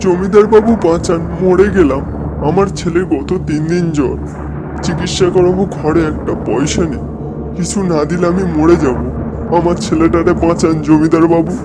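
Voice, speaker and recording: A man's voice: fairly high pitch, moderate pace, noisy background, lightly acted. A man playing a poor, desperate village father in a cartoon, with a begging manner. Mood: desperate, pleading. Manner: begging, grateful. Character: desperate, pleading poor father of sick child. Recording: loud music over the voice.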